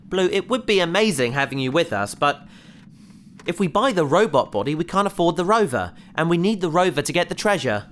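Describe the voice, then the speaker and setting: An actor playing an earnest, excitable researcher on a spaceship crew in a sci-fi audio drama, with a gentle, bookish manner. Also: mid-range voice, brisk, slight background noise, lightly acted. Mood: gentle, pleading.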